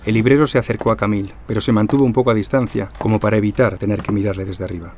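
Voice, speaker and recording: Quick, low-voiced male crime narrator. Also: fairly even intonation, darkish tone, slight background noise. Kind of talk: scripted crime fiction narration.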